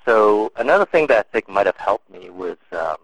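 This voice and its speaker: A man with a very low voice gives relaxed, thoughtful answers in an interview, often pausing and restarting. The recording is dry, with no room sound. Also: dry recording, poor audio. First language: English and Cantonese. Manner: thoughtful, many fillers and restarts. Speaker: interview guest.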